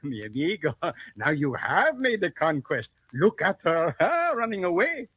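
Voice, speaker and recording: A hearty Mexican rancher and fond father in an old-time radio drama. His Mexican Spanish accent rides on a fairly high, very lively voice full of exclamations. The sound is dull and aged. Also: a little slow, husky, dry recording, full character voice. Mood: apologetic, amused. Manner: exclamatory, chuckling, courtly speech. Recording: muffled old radio recording.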